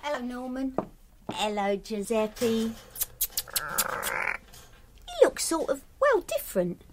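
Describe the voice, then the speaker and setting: A woman's voice: fairly high pitch, wildly swooping pitch, slow pace, full character voice. An actress playing a ditzy, blunt young woman. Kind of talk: sitcom acting. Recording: loud background noise. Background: loud noise.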